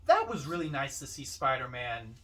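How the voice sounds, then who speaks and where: A man's voice: mid-range voice, very animated, moderate pace, bright, forceful tone. A man co-hosting a film podcast, talking casually through his opinions. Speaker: podcast co-host. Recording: slightly distant from the mic.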